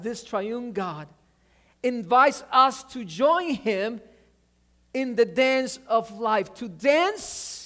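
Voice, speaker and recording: A man whose first language is Spanish, preaching a sermon with energy, often restarting words and asking for an amen. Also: high-pitched. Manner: animated preaching, repeats words, asks amen. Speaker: pastor.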